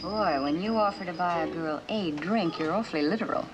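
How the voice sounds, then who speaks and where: An actress playing a cynical, mocking femme fatale in a mid-century suspense drama, coolly flippant in manner. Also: female voice, fairly low pitch, brisk, over music, lightly acted, rough recording. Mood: cool, wry.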